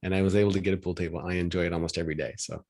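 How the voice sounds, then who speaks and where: A man's voice: low-pitched, steady tone, very fast, darkish tone. A middle-aged man from Washington State telling a story in a matter-of-fact, chatty way on a video call. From Washington State, USA.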